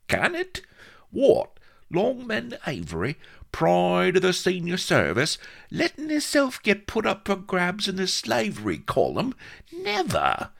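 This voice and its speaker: High, quavering, flustered little agent. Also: male voice, wildly swooping pitch, slow pace, gravelly, a bit bright, heavily acted. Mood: doubtful, dismayed. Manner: quavering, muttering, indignant. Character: fretful little middle-class agent, flabbergasted, squeaky and whining.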